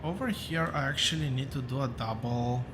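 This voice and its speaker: A man with a rough voice, thinking aloud as he hosts a relaxed gameplay commentary, with many fillers and pauses. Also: mid-range voice, noisy background. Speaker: gaming channel host.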